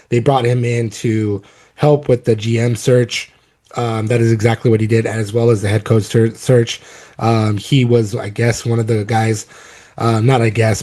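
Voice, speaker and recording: A man's voice: mid-range voice, warm tone. A man with a cold, his voice a little off, hosting a casual sports podcast and rambling with many ums and restarts. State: has a cold, voice a bit off. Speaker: podcast host.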